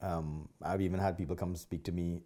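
A man in his fifties with a Trinidadian accent and a deep, soft-edged, mellow voice. He talks in a polite, conversational way, as a podcast guest telling his own story. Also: steady tone, fairly quick. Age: 50s. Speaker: podcast guest, martial artist. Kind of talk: casual interview chat.

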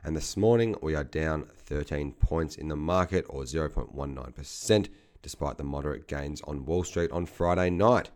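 A man reading a scripted market update, a little croaky from a cold, in a brisk, businesslike way. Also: very deep voice, darkish tone. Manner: brisk, businesslike delivery of figures. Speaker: market commentator.

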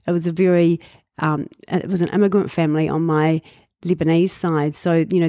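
A woman's voice: on the low side, dry recording, studio quality. Reflective New Zealand woman in her fifties. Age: 50s. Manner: reflective, warm storytelling with frequent fillers and laughs. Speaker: guest. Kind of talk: casual interview chat.

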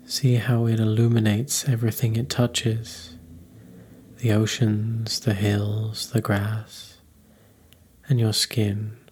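A man leading a guided meditation in a calm, unhurried, soothing manner. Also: on the low side, a little hiss or hum.

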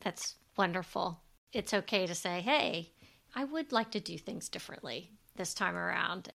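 Woman interviewer with a creaky voice. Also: on the low side, expressive, moderate pace, a bit bright. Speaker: interview host. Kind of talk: casual conversational interview.

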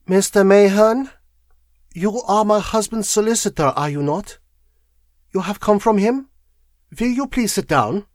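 A man voicing a pale foreign wife, quiet, coldly controlled and intense, in an audiobook story. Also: high voice, animated, unhurried, dry recording, studio quality, voice pushed up. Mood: composed, insistent.

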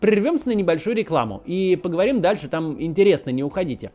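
A man's voice: high voice, very animated, fast talker, loud music behind the voice. A man hosting a political video, delivering scripted commentary with ironic, sardonic asides.

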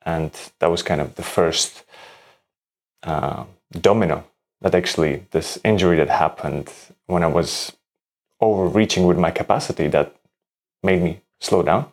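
Deep-voiced calm man from Latvia. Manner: calm, reflective, slightly halting non-native phrasing. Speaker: breathwork teacher, guest. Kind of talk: interview answers.

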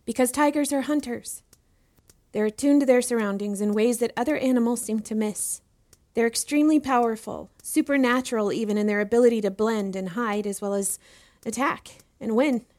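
A woman's voice: a little high, moderate pace, darkish tone, natural voice. An actress playing an eager, deferential young female student in an audio drama, speaking in an earnest, inquisitive way. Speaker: voice actor in a fiction podcast. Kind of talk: acted audio drama dialogue.

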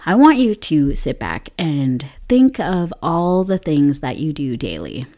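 A young woman hosting a solo podcast, her voice hoarse from illness, upbeat and chatty with frequent laughs. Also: low voice, big pitch swings, unhurried, dark tone. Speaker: podcast host.

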